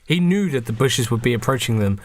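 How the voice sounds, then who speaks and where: A man's voice: mid-range voice, animated, fairly quick. A man narrating a comic radio play on a podcast in a mock-dramatic storytelling manner.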